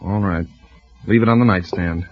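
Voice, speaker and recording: A low-voiced, suspicious husband who narrates his night in slow, brooding sentences. It is an old radio thriller, lo-fi and muffled, with a clear score running beneath. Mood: cool. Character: suspicious husband, grim first-person narrator. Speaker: lead actor and narrator. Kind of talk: 1940s radio drama acting. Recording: lo-fi old radio broadcast with music.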